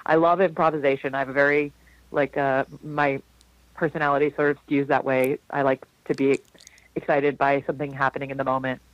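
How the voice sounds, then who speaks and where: A man in his forties from South Florida, a jazz singer with a low, slightly husky voice, telling rambling stories in a casual interview with frequent restarts. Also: flat delivery, brisk, no echo, dull audio. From South Florida, USA. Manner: rambling, warm anecdotes with frequent restarts and laughs.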